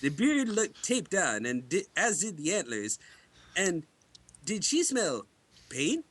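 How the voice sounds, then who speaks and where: A man's voice: sits high, sing-song, takes it slowly, fairly bright tone, breathy edge. A man giving an amateur dramatic reading of a fan story on a podcast.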